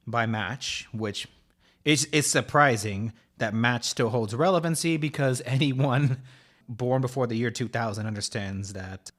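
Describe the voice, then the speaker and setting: A man's voice: mid-range voice, a bit bright. A man in his thirties co-hosting a podcast with irreverent, joking, sometimes profane casual banter. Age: 30s. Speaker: podcast co-host.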